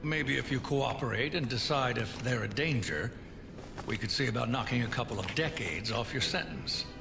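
An actor playing a stern, weary male police commissioner with a gravelly voice. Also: mid-range voice, lively intonation, moderate pace. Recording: echoey game audio over music.

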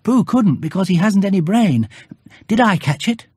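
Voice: mid-range voice, lively intonation, normal speed, a little mellow, slightly rough, no echo, clean studio sound, voice pushed up. A narrator voicing a curious, earnest little boy in a children's storybook, in an innocent, questioning manner. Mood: innocent, inquiring. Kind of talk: children's storybook character acting.

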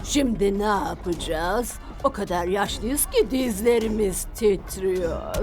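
A blunt, tough superhero girl turned old, speaking slow and steady. Cartoon music plays behind the studio dub. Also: mid-range voice. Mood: grumbling.

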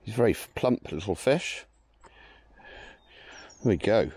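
A man's voice: on the low side, animated, a little slow, a little mellow, breathy edge. A man casually commenting while fishing a canal, chatty and explaining his tackle. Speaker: angler. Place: canal bank outdoors.

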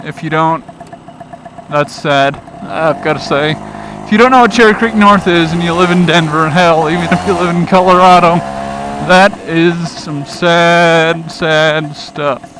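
A man's voice: a little high, fairly even intonation, a little slow. A man talking casually while riding a scooter through city traffic, rambling with lots of fillers. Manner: chatty, laid-back, lots of "uh" and "you know". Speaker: motovlogger. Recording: wind and traffic noise. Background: road and engine noise.

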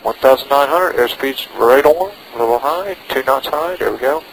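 A man pilots a small plane and speaks over a headset in short procedural phrases. His pitch is middling. He switches between radio calls and briefing himself, with a faint engine drone on the intercom. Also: moderate pace, slight background noise, rough recording. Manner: talks himself through checks in short phrases. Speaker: private pilot. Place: inside a small propeller plane in flight. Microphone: aviation headset.

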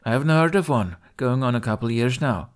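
A man voicing a large, disapproving Viking woman in an audiobook, with a gruff, suspicious manner. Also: medium pitch, expressive, fast talker, pitched above the performer's own voice, close to an everyday voice. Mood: grudging. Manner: harumphing, suspicious.

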